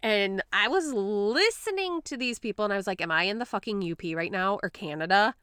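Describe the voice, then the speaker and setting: A woman's voice: medium pitch, lively intonation, a little fast, sharp tone, clear, resonant tone, dry recording, studio quality. A woman podcast co-host from Michigan with a Western Michigan accent that has a touch of the Upper Peninsula, chatting casually, swearing freely and laughing often. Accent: Western Michigan, Upper Peninsula tinged.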